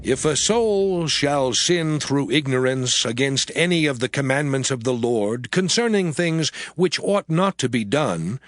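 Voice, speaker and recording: A man's voice: mid-range voice, expressive, unhurried, few pauses, no room sound, clean studio sound. A man giving a solemn, declamatory dramatized reading of scripture as an audio Bible narrator. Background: music under the voice.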